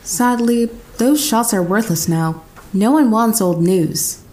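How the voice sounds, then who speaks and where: Actress as slow-speaking, earnest young girlfriend. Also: fairly high pitch, lively intonation, dark tone. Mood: matter-of-fact. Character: sincere, emotional young girlfriend.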